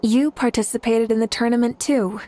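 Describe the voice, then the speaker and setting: A woman's voice: on the high side, steady tone, normal speed, mellow, slight background noise, lightly acted. An actress voicing a confident female ruler in a game, proud and commanding. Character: confident fiery female ruler, warm champion. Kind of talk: game cutscene voice acting.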